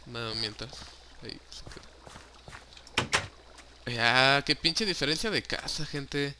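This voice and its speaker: A man's voice: mid-range voice, slow pace, background noise. A young man with a Mexican Spanish accent, chatting casually and joking as he narrates his own Minecraft play, swearing freely and dropping in English words. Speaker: gaming YouTuber.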